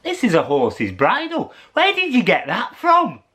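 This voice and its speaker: A man's voice: sits high, huge pitch swings, measured pace, pushed and bright, voice pushed up, full character voice. A man voicing a young boy in a children's story, calling out urgently and asking questions. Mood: puzzled.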